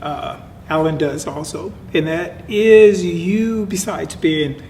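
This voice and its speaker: A man hosting an interview, asking halting questions and laughing between them. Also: fairly high pitch, darkish tone. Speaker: interview host. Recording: distant mic with faint noise.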